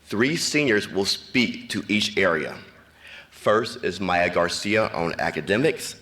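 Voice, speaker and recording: A man, a school official, reading formal ceremony announcements from a podium in a measured, scripted way. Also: deep voice, lively intonation, a bit bright. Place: large ceremony venue.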